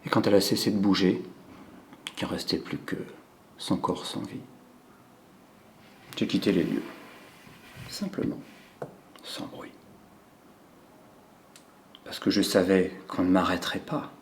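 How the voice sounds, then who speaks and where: A man performing a theatrical monologue as a cold, controlling psychopathic killer who savors his confession in a hushed, deliberate way. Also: low voice, gravelly, a little hiss or hum. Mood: savoring, sinister. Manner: slow, hushed, deliberate delivery with drawn-out pauses. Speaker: acting student performing a monologue.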